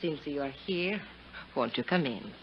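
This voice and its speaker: A muffled, lightly hissy old radio recording carries a haughty countess from a 1950s radio drama. Her voice is on the low side and highly inflected, poised and formal with a dry, arch wit. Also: expressive, measured pace. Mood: cool, polite. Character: cool, haughty, drily witty countess and suspected femme fatale.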